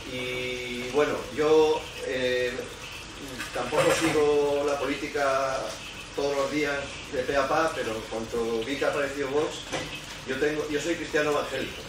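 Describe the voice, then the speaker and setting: A man with a Peninsular Spanish accent speaks up from the audience. He rambles and repeats himself as he works toward his question. He sounds far from the microphone, swimming in heavy echo and clear background noise. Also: mid-range voice. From Barcelona, Spain. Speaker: audience member asking a question. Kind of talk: spontaneous question from the floor. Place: conference hall. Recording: distant, very echoey, noisy hall sound.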